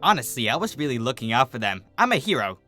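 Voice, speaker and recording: A man's voice: medium pitch, sing-song, quick pace, bright, forceful tone, soft background music. A man voicing a brash, defensive, teasing young female athlete pony with casual, slangy, huffy delivery.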